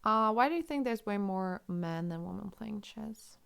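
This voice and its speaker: A woman's voice: mid-range voice, lively intonation, normal speed, vocal fry, fairly bright tone, smooth. A woman from Germany with a German accent, commentating chess on a live stream, thinking aloud and answering chat questions.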